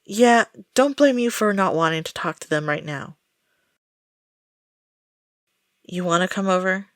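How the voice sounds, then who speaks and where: A woman playing an exasperated, jumpy friend caught up in a haunted-house outing, sarcastic and expressive, in an audio roleplay. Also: lowish voice, moderate pace, dry recording, lightly acted. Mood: weary, resigned.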